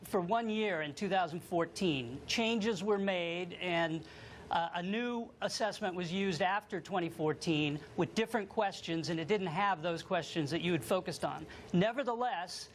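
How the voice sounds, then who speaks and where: An American man giving formal explanations as an interviewed government lawyer, in a measured, careful, sometimes halting manner. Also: high-pitched, slight background noise.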